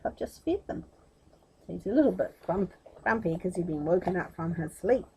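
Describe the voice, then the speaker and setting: A woman storyteller reading a children's tale aloud expressively, with animated, chatty asides. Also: lowish voice, a little hiss or hum, rough recording.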